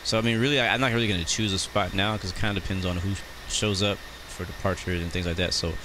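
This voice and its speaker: A man's voice: sits low, fast. An American man casually commenting on a flight simulator live stream, chatting with viewers as he plans his descent. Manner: relaxed, chatty, greets chat viewers. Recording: noisy background.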